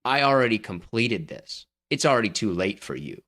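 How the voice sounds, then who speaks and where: A man's voice: on the low side, very animated, normal speed, fairly bright tone. Man, podcast co-host, casual movie chat, needling, emphatic, opinionated. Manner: teasing, needling his co-host. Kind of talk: casual banter about movies.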